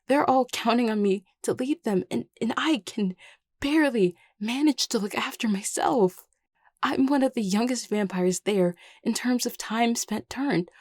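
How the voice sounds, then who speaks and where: A woman's voice: mid-range voice, creaky, dark tone, no room sound, clean studio sound. A woman voicing an anxious, self-doubting young vampire leader in a scripted audio roleplay, hesitant, stammering and apologetic.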